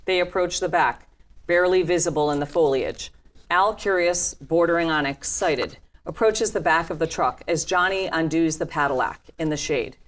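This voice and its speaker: A woman's voice: low voice, normal speed. A woman narrating scene headings and stage directions from a script at a table read.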